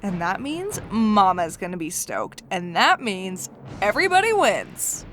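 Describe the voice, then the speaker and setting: A woman playing an excitable, giddy young goddess in an audio drama, gushing in casual slang. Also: medium pitch, measured pace, faint music behind. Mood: excited.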